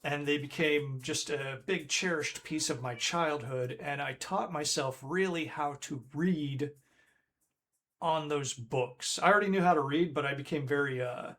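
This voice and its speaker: A man's voice: on the high side, measured pace, fairly bright tone. A man, hosting a retro game stream in a rambling, chatty way that keeps correcting itself. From Northern Ireland. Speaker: streamer host.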